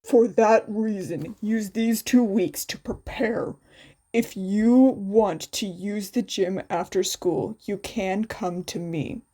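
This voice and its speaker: A woman voicing a tired, matter-of-fact male high school teacher with a flat, authoritative manner in a story reading. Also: slow pace.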